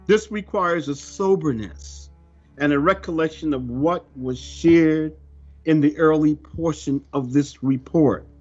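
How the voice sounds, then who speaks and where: A man reading a scripted religious report aloud in a solemn, deliberate, emphatic manner. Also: on the high side, a little mellow. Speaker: religious reader of a prophecy report. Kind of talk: scripted narration, read aloud. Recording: dull lo-fi audio under music. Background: music.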